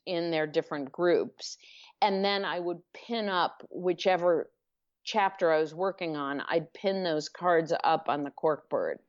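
A woman author being interviewed, speaking thoughtfully with pauses and self-corrections. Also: deep voice, muffled recording. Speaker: author guest. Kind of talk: podcast interview.

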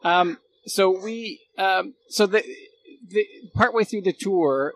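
Male interview guest, slightly high voice, earnest, rephrasing speaker, light noise behind. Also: takes it slowly, fairly bright tone, poor audio. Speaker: guest, former radio producer. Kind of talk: casual reminiscing conversation.

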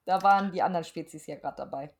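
A woman's voice: lowish voice, fast. A middle-aged woman, chatting casually and frankly as a podcast guest, with emphatic wording. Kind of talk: casual chat.

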